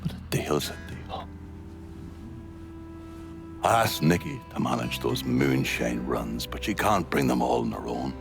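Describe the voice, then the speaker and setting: An actor playing a bitter, foul-mouthed middle-aged Irish gangster in a video game, with a brooding delivery and an Irish accent. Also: male voice, rumbling low, lively intonation, vocal fry, exaggerated voice. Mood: wry, grudging. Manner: slow, brooding, drawn-out delivery. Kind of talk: cinematic video game acting. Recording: music behind the voice.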